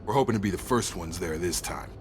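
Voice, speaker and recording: An actor playing a loyal, no-nonsense soldier in a video game, steady and straightforward. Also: male voice, fast, lightly acted. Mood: steady, earnest. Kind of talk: dramatic video game dialogue. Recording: loud vehicle rumble behind voice.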